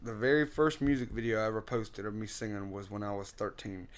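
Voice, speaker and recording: Low smooth-voiced guy rambling easy in an interview, lots of uhs and you knows. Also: brisk. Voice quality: loud singing voice. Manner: relaxed, rambling, drawling with many fillers; laughs often. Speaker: interview guest, singer and guitarist. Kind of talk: casual interview chat.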